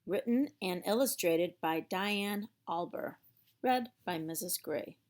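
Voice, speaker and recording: A woman's voice: on the low side, slow pace. A woman reading a storybook aloud for young children in a theatrical way, drawing out words for emphasis.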